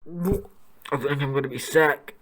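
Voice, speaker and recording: A woman plays a grumbling young schoolboy wizard. The voice sits fairly low and moves slowly, squeamish in temper, in an audio play. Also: poor audio. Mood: queasy. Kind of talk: audio drama acting.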